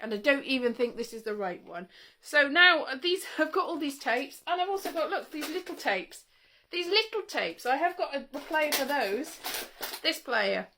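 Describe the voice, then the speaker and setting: A woman with a high-pitched, bright voice speaks in an informal vlog monologue. She is chatty and wry, wandering from one thought to the next and cutting herself off as she goes. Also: expressive, normal speed, a little hiss or hum. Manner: chatty, wry, self-interrupting. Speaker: vlogger showing old interview tapes. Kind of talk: casual rambling monologue to camera.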